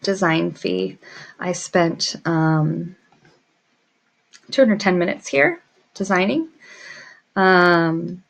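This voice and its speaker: Low-pitched woman with heavy vocal fry. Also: drawn-out words, long pauses. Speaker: small business owner teaching pricing. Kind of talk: informal screen demo tutorial, thinking aloud.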